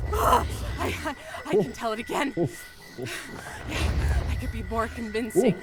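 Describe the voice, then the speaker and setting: An actress playing a frightened storyteller in a horror audio drama, with a hesitant, pleading manner. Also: female voice, high-pitched, very animated, very slow, heavy background noise, exaggerated voice. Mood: terrified, tearful pleading. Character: frightened woman storyteller desperately pleading with her captors. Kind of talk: audio drama narration and acting.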